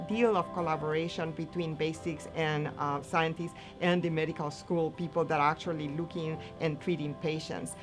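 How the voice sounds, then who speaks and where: Female interviewee for a promotional film, low pitch, music clearly behind.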